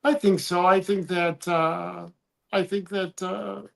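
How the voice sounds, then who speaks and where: A man's voice: high-pitched, flat delivery, slow pace, creaky, fairly bright tone. A man from the United States co-hosting a casual livestream, rambling, trailing off and restarting his sentences. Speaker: livestream co-host.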